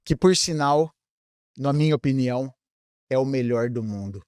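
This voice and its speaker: A Brazilian-accented man hosts in a casual, conversational style. His pitch is mid-range for a man. The sound is very dry, with almost no room echo. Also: moderate pace, darkish tone, clear, resonant tone. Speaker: podcast host. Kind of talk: casual interview chat.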